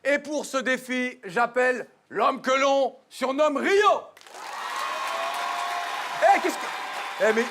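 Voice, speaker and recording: Brief: very high man, playful flustered host, loud studio crowd behind. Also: animated, slow pace, long pauses. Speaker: show host. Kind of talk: comedy TV show hosting. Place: TV studio with audience. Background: loud studio audience.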